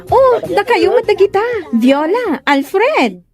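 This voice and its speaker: A woman playing an excitable, chirpy, friendly busybody of a friend in a comedy audio drama. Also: very high-pitched, slow, faint music behind, heavily acted. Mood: excited.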